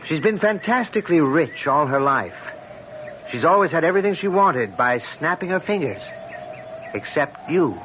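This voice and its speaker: An actor playing a wry, urbane friend and rival, speaking in a measured, ironic and insinuating way in a radio drama. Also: male voice, on the high side, lively intonation, a bit bright. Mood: earnest advice. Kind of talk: old-time radio drama acting. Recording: muffled old radio recording, noticeable hiss.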